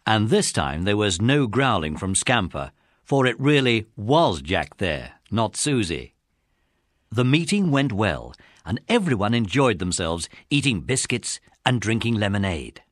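A man's voice: fairly low pitch, sing-song, measured pace, no room sound, studio quality. A man narrating a children's adventure story in a scripted storybook style. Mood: storytelling.

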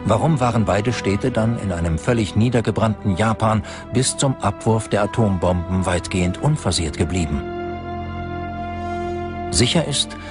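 A man's voice: lowish voice, expressive, normal speed. A man reading scripted documentary narration as the narrator. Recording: loud music under the voice. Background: music.

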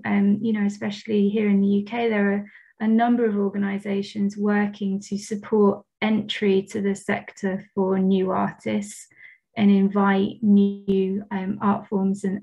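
Husky woman hosting a video webinar. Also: medium pitch, warm tone. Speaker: webinar moderator. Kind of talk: hosting an online panel. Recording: dull lo-fi video call audio.

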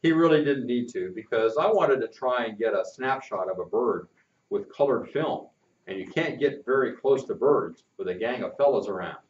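An older man reading a chapter book aloud in a lively, animated way, stopping now and then to chat with his listeners. He is recorded by a distant camera in a roomy classroom, so the sound is echoey and a little dull. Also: mid-range voice, moderate pace. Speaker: storyteller. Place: indoor classroom-like room with posters. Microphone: far camera.

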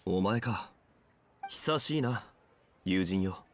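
A man voicing a dignified, ageless gentleman scholar in a Japanese video game, formal, measured and solemn. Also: sits low, lively intonation, slight background noise. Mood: calm greeting.

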